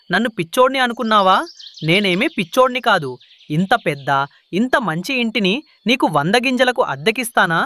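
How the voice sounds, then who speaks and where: A man's voice: high voice, bright, forceful tone. A man playing a sly, greedy crow landlord who wheedles and bosses in a children's cartoon. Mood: demanding. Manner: scheming, wheedling, bossy.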